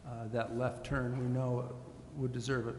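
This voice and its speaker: A man speaking as a city official at a public meeting in a formal, measured and hesitant way, choosing his words carefully. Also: mid-range voice, flat delivery, darkish tone. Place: large indoor council chamber. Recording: echoey meeting chamber, faint hiss.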